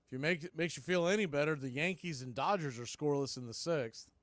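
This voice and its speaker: Slightly high male voice, dry close sound, baseball play-by-play, clipped calls, conversational asides. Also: quick pace, no echo. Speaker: baseball radio play-by-play announcer. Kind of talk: live sports commentary.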